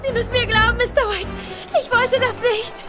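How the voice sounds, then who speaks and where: A woman's voice: extremely high pitch, steady tone, normal speed, over loud music. A woman playing a desperate, frightened young woman, pleading in a radio drama.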